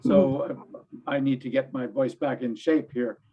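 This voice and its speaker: An older grandfather with a hoarse voice, speaking earnestly and personally as a panelist on a video call. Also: medium pitch, fairly even intonation, a little slow. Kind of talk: webinar panel remarks. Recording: slightly dull lo-fi audio.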